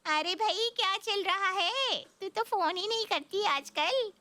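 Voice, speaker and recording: A woman's voice: extremely high pitch, expressive, measured pace, bright, forceful tone, full character voice. A woman voicing a chatty, helpful young female friend in a Hindi cartoon story. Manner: chatty, familiar.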